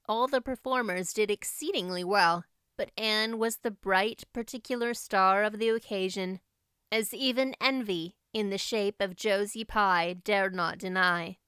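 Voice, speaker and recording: A woman's voice: mid-range voice, a bit bright, clean tone, no echo, studio quality. A woman reading scripted storybook narration as an audiobook narrator.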